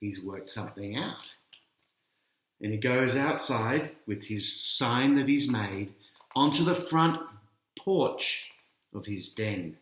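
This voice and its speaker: Animated, unhurried male picture-book storyteller. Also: mid-range voice. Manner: addresses young listeners with questions and teasing reveals. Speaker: author reading his own picture book. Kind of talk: children's storytelling read-aloud. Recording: roomy, echoing sound.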